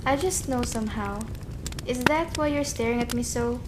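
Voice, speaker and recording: A woman's voice: a little high, moderate pace, very noisy, natural voice. An actress playing a tender, secretive, wistful lady in a radio play. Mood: hesitant, sad.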